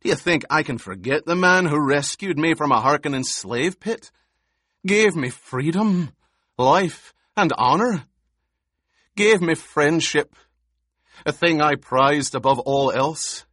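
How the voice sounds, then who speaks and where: A man's voice: on the high side, expressive, takes it slowly, a bit bright, dry recording, studio quality, pitched above the performer's own voice, full character voice. A man reading an audiobook, voicing a furious, loyal warrior who snarls threats while holding a hostage. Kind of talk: audiobook character voice.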